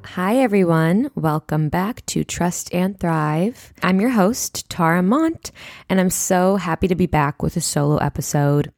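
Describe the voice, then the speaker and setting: A woman's voice: lowish voice, expressive, unhurried, a little mellow, no room sound. A young woman in her twenties hosting her own podcast, talking in a reflective, chatty way and sounding congested. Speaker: podcast host. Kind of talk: solo podcast talk.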